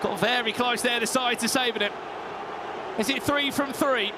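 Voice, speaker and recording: High-pitched shouting esports caster, a man. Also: normal speed, background noise. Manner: excitable, shouting at big moments. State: losing his voice. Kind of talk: play-by-play commentary.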